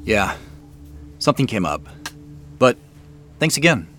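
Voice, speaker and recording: A man's voice: fairly low pitch, sing-song, a little slow, a bit bright, soft background music. An actor playing a polite, curious, somewhat hesitant man in a naturalistic audio drama. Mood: distracted, polite. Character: polite, curious, hesitant male customer, Joseph.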